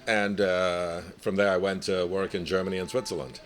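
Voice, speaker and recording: Very deep-voiced chef from Nigeria. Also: male voice, little pitch movement, normal speed. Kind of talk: casual interview.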